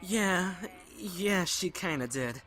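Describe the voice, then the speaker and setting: A man acting a gentle, supportive young friend in an audio drama, speaking hesitantly. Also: high-pitched, lively intonation, slow pace, heavily acted. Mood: sympathetic. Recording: clear background ambient noise.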